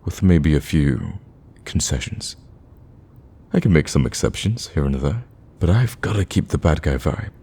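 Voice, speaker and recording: A man playing a lovestruck villain in an ASMR romance roleplay, awkward yet cocky, speaking softly and intimately with hesitant stammers. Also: a bass voice, big pitch swings, normal speed, slightly rough, heavily acted. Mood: devoted, tender.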